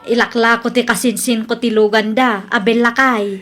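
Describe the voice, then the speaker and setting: Woman acting a high-voiced, boastful Ilocano wife. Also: steady tone, normal speed, heavy background noise, lightly acted. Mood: coaxing, breezy. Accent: Ilocano Filipino. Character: boastful, stubborn, scheming wife, cheerful and bossy. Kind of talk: Ilocano comedy radio drama acting.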